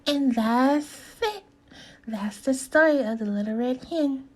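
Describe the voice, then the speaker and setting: A woman teacher reading a storybook aloud to children, in a deliberate, instructive way with questions to her listeners. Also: high-pitched, a little mellow, a little hiss or hum. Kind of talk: slow storybook read-aloud and lesson for kids.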